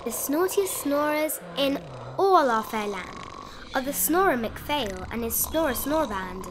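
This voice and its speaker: High-voiced woman reading a rhyming storybook. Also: lively intonation, unhurried, noisy background. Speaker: storybook narrator. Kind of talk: expressive read-aloud of a rhyming children's bedtime picture book.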